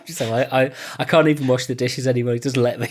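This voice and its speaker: A man's voice: medium pitch, fairly even intonation, quick pace, noisy background. A British man hosting a casual podcast chat, rambling with repeated words and often chuckling. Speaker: podcast host. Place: remote online call.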